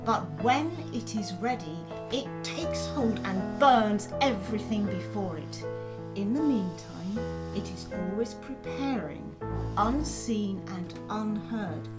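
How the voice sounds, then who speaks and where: Woman acting a steely revolutionary wife over loud guitar. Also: mid-range voice, animated. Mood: steely conviction. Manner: deliberate, rhetorical, commanding. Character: fierce, patient revolutionary wife, steely and vengeful. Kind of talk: dramatised classic novel, theatrical acting. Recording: loud guitar music behind.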